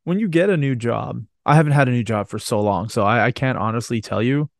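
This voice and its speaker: A man's voice: mid-range voice, fairly quick, creaky, no echo, clean studio sound. Joking, rambling podcast host man. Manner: rambling, joking, swears casually. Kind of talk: casual chat.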